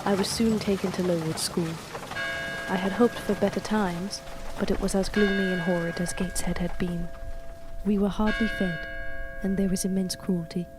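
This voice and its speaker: A woman playing a grown heroine narrating her childhood in a measured, reflective way for an audio drama. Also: medium pitch, fairly even intonation, music in the background, natural voice. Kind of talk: first-person dramatic narration.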